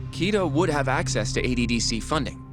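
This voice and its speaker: A man acting a thoughtful, analytical character in a video game crime drama, reasoning politely and deliberately. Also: medium pitch, animated, close to an everyday voice. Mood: convinced. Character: thoughtful, analytical man piecing together a case. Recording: music behind the voice.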